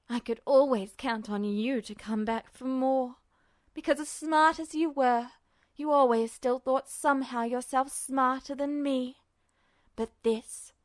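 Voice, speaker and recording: A woman voicing a cold, mocking godlike entity in an audio story, slow, drawling and condescending in a menacingly calm way. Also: high voice, dry recording, studio quality. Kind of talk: audio fiction reading.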